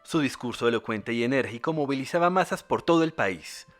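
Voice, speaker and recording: A man's voice: mid-range voice, expressive, a little fast, soft background music. A man reading scripted documentary narration as the narrator.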